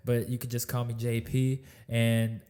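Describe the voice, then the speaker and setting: A man's voice: medium pitch, completely flat delivery, unhurried, darkish tone. A man in his twenties, raised in Florida, co-hosting a casual podcast and rambling with lots of repeats and casual swearing. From born in New York, raised in Tampa, Florida. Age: 20s. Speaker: podcast co-host.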